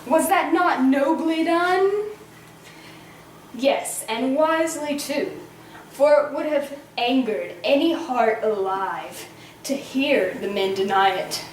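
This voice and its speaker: A woman's voice: sits high, lively intonation, takes it slowly, reverberant, far from the mic, a little hiss or hum, poor audio. A woman playing a worried nobleman who speaks earnestly and asks questions. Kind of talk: amateur Shakespeare performance.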